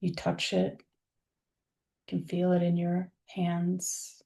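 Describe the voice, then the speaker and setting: A woman leads a guided visualization at a very slow pace, leaving space between her phrases. Her voice is mellow and fairly low, and her delivery is soothing and gentle, like someone settling a listener into stillness. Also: completely flat delivery, creaky. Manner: soothing, unhurried, with long pauses between phrases. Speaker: guided meditation leader. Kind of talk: guided meditation, soft spoken visualization.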